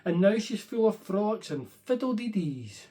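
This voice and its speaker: A man reading a children's bedtime story in an expressive storybook narration style. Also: sits high, normal speed.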